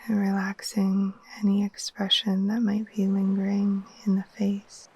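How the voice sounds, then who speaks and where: A woman leads a guided sleep meditation in a soft, breathy near-whisper. Her tone is mellow and very even, with little rise or fall. She speaks very slowly and gently, leaving long, soothing pauses. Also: mid-range voice, rough recording. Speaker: yoga nidra guide. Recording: faint steady wash of ocean waves.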